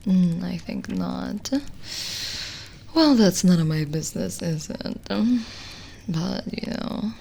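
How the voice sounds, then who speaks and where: Woman doing a soft witch for asmr roleplay, super slow n whispery, bit of creaky voice, kinda teasing and intimate. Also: lowish voice, full character voice. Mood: playful, teasing. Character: lonely gentle teasing witch, female, reading palms.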